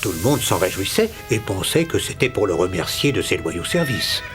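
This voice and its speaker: A man narrating a children's animated fairy tale in a scripted storybook style. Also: low voice, normal speed, over music.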